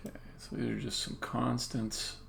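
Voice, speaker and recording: A game developer thinks aloud on a coding stream in a heavily gravelly, fry-laden voice. The delivery is halting and muttered, as if to themselves. Keyboard typing and clicks sit over a faint background noise. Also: medium pitch, flat delivery, a bit bright. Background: computer keyboard typing and clicking.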